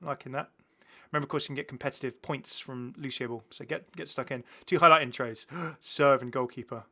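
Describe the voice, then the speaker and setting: Bright-voiced man hosting a gaming video. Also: medium pitch, fairly even intonation, brisk. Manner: chatty, enthusiastic, exclaiming. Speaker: host of a gaming channel. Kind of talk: casual gameplay showcase commentary.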